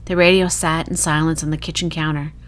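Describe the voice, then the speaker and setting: Woman, deep register, dramatic horror narration, emotive delivery, noise in the background. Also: little pitch movement, brisk. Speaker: author reading their own short horror story.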